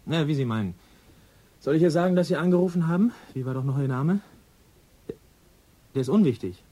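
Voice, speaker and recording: A man's voice: medium pitch, dark tone, slightly rough. An actor playing a self-important, mildly grumpy policeman in a radio drama, officious and colloquial. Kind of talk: naturalistic radio drama acting.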